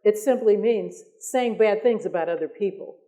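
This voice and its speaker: An older man, a retired professor and moderator, explaining in a measured, lecturing manner. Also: sits high, dark tone. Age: 60s or older.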